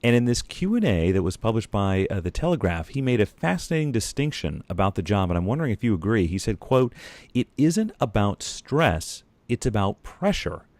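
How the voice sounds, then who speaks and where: Man, podcast interviewer, lowish voice, conversational, articulate measured delivery, very dry close sound. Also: sing-song, darkish tone, dry recording.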